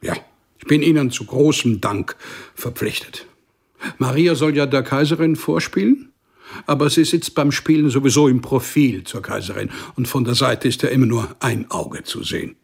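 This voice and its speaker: A man's voice: medium pitch, moderate pace, vocal fry, breathy edge. A man acting a strict, ambitious father and court official in a German radio drama, pompous, cajoling and demanding. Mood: officious.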